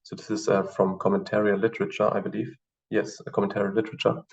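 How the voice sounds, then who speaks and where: Brief: German-native male teacher, low gravelly voice, hesitant and filler-heavy, distant dull mic. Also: level tone. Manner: hesitant, halting explanations with many fillers. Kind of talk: screen-share tutorial.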